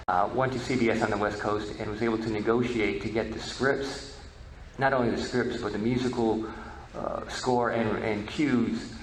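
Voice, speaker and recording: A man giving an informal history lecture as presenter, halting and often repeating words. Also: lowish voice. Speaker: presenter, media history researcher. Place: presentation room at a convention. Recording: echoey room with background noise.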